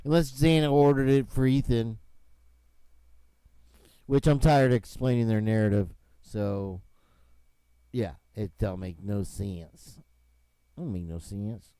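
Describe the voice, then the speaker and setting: A man's voice: on the low side, steady tone, takes it slowly, long pauses, mellow, smooth, dry recording. A man hosting a casual livestream, joking and rambling and laughing often. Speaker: host.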